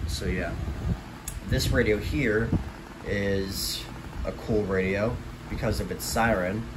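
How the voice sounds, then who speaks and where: A man giving a casual, meandering show-and-tell of a collection, filmed on a handheld camera in a small bedroom. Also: on the low side. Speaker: hobbyist collector showing a collection. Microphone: handheld camera, behind the lens. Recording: distant mic, loud room hum and noise.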